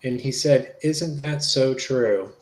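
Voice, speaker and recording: A man reading the part of a polite, low-key federal agent in a dramatic reading of a witness interview. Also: takes it slowly, darkish tone, natural voice, poor audio. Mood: curious, polite. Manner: low-key, matter-of-fact.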